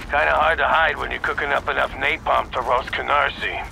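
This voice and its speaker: Gravelly, jaded New police captain. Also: male voice, medium pitch, fairly even intonation, fairly quick, pushed and bright, a little hiss or hum, full character voice, poor audio. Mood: wry, briefing. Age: middle-aged. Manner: gruff, sardonic, colloquial.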